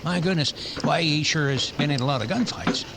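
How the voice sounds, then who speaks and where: A man's voice: fairly high pitch, brisk, noisy background, lightly acted. A man playing a chatty, ghoulish frontier doctor in a radio western, folksy, fussy and stammering. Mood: eager, chuckling. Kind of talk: old-time radio western acting.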